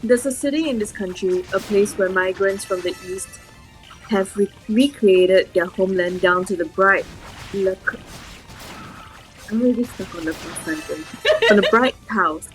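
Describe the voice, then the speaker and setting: Teasing woman co-streamer, mid pitch. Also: measured pace, soft background music, rough recording. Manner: teasing, stumbles while reading aloud. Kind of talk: casual chat and reading a story aloud.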